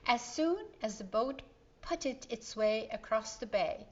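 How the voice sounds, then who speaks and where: Slow-paced woman reading a children's story. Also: fairly high pitch, expressive, a little mellow, dull audio. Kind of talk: expressive read-aloud children's storytelling, with asides to listeners.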